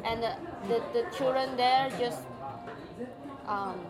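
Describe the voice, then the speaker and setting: A woman with a Malaysian Chinese accent, her first language Chinese, speaking halting English in a casual interview and searching for words. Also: a little high, steady tone, a loud crowd. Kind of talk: casual conversation. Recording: loud music behind the talk.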